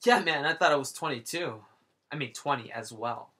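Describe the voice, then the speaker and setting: A man's voice: mid-range voice, lively intonation, normal speed, sharp tone. A man in his late twenties chatting casually and jokingly while he draws, rambling and teasing his viewers. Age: 20s. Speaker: artist and channel host. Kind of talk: casual chat while drawing.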